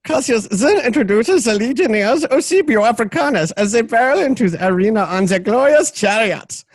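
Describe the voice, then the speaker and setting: A man's voice: extremely high pitch, expressive, moderate pace, dry recording. A man reading stage directions as narrator of an amateur radio play, in a theatrical, playful and dramatic way. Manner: dramatic, playful, acts out shouts and crowd.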